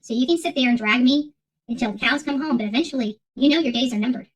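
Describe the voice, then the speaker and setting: A woman's voice: sits high, fast talker, slightly rough, rough recording. A woman hosting an online channel, ranting off the cuff to her audience in a combative, emphatic way, repeating phrases for weight. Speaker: host of an online commentary channel. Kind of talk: unscripted rant to an audience.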